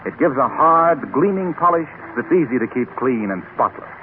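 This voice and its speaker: A man's voice: medium pitch, animated, normal speed. A man working as a radio announcer, reading scripted 1930s copy in a polished, emphatic sales style. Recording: tinny, noisy 1930s radio recording.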